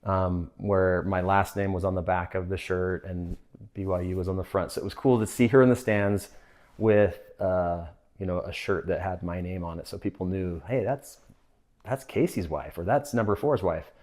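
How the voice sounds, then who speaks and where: A man's voice: deep voice, moderate pace, darkish tone. A middle-aged man with an American accent, reflecting in a casual interview as a sports coach. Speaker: assistant soccer coach.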